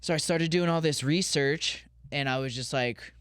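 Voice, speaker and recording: A man's voice: on the high side, normal speed, fairly bright tone, smooth, no echo, clean studio sound. A man in his thirties from Colorado, a pro skateboarder talking as a podcast guest, candid and rambling with lots of restarts. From Colorado, USA. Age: 30s. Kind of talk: casual podcast interview.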